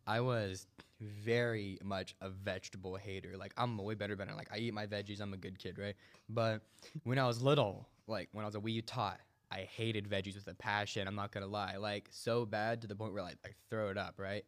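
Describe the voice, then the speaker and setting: A man's voice: on the low side, steady tone, fairly quick. A young man hosting a casual comedy chat, laughing often and rambling with many fillers. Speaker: host.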